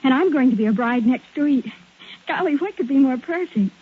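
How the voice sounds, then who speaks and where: A woman's voice: high-pitched, lively intonation, moderate pace, husky. An American woman acting a gushing young bride-to-be in a scripted 1940s radio commercial, on a tinny old recording.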